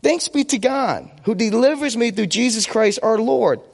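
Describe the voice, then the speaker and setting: Male preacher, forties, native Vietnamese speaker, high voice, conversational sermon delivery, rhetorical questions, long hall reverb. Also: expressive, normal speed, gravelly. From United States. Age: 40s. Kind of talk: sermon with personal anecdotes.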